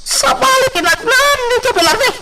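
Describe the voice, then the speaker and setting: A performer voicing a shrill, excitable, cackling henchman in an Ilocano radio drama, recorded off-mic with noise. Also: normal speed. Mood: mocking, giggly. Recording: noisy, off-mic home recording.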